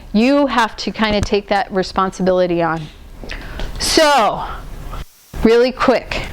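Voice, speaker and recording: A woman running a management training workshop, talking in a lively way, asking the audience questions and teasing them by name. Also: fairly high pitch, clear, resonant tone, rough recording. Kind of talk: interactive management training talk. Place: training room.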